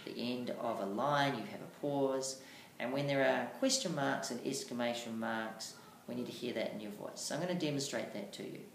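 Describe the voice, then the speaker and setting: A teacher giving an instructional lesson on reading aloud, speaking deliberately and emphatically with marked pauses. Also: medium pitch. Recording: echoey room, faint background noise.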